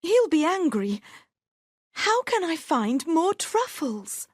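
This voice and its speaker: A man voicing a frightened twelve-year-old peasant girl in a storybook reading, high and pleading. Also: big pitch swings, slow, no echo, clean studio sound, heavily acted. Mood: worried.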